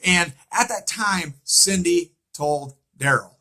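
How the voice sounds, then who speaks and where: A man's voice: high voice, takes it slowly, bright, forceful tone. A man giving an informal recap and theory commentary on a show, emphatic and repetitive, often posing rhetorical questions. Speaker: fan commentator on a TV series.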